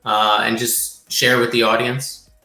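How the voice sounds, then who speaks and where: Bright-voiced American male co-host, roomy sound. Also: mid-range voice, little pitch movement, measured pace, soft background music. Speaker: podcast co-host. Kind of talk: reading a guest introduction, interviewing.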